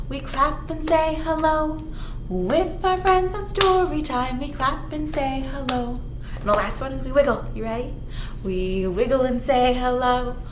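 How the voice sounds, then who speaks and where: A woman's voice: sits high, echoey room, noisy background. A woman leading a children's storytime, reading aloud in a singsong way and chatting to young listeners.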